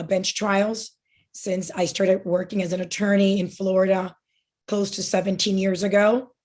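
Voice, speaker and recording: Flat-toned woman judicial candidate. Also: medium pitch. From Miami. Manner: earnest, with frequent ums and restarts. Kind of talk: campaign speech at a candidate forum.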